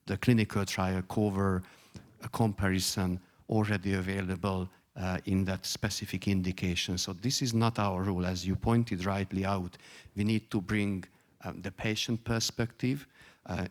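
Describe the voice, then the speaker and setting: Deep-voiced man on a panel. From Hungary. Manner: earnest, searching for words, many fillers. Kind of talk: conference panel remarks.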